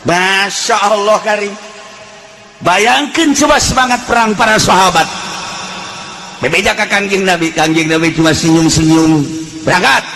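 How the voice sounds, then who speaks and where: High-pitched Sundanese-accented male preacher. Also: moderate pace, poor audio. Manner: animated, shifting between chanting, shouting and folksy asides. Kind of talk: fiery religious sermon with storytelling.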